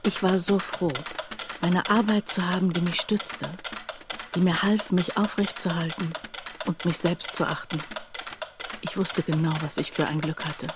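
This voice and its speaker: An actress playing a reflective woman who narrates a radio drama about a destitute friend in a confiding, deliberate way, with a husky, breathy voice. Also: on the low side, fairly even intonation, background noise, close to an everyday voice. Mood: sober, pensive. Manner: confiding, deliberate, addressing the listener directly. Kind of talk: intimate radio drama monologue.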